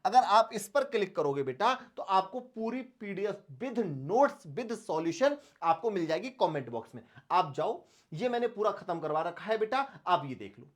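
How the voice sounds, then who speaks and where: A man's voice: sits high, very animated, normal speed. A man teaching an online exam-preparation lesson, instructing students affectionately, repeating his points and posing rhetorical questions. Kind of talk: online coaching lesson, strategy talk to students.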